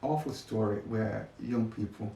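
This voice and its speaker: A man's voice: fairly low pitch, measured pace, vocal fry, mellow. An older man being interviewed, talking reflectively about his experiences in his own words. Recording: echoey, distant mic, faint noise.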